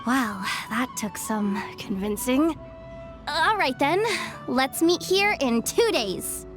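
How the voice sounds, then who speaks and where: Savvy young female informant, high voice, wry, confident, game cutscene, light background music. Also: animated, slow pace. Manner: self-assured, wry, conversational. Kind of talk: video game cutscene acting. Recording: music behind the voice.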